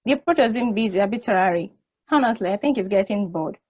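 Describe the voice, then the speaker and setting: A woman's voice: medium pitch, lively intonation, quick pace, darkish tone, dull audio, lightly acted. A woman playing a wry, bossy space probe in a stage play table read. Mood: wry, casual.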